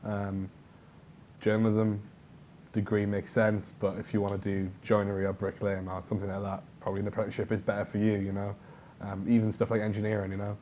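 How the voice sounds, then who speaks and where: A man's voice: fairly low pitch, completely flat delivery, fairly quick, warm tone, a little hiss or hum. A young man with a North East English accent, chatting casually as a student show co-host. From Northern England. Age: young adult. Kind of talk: casual chat.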